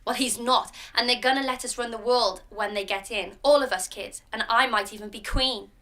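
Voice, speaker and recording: A woman playing a young girl in a radio drama, sweet and calmly secretive about dark things. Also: a little high, normal speed. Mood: gleeful. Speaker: actor in a student radio drama.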